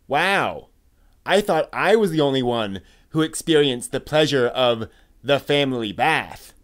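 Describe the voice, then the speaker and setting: A man's voice: fairly high pitch, voice pushed down. A man voicing a wistful anime fan sharing memories, in a dramatic reading of an online post.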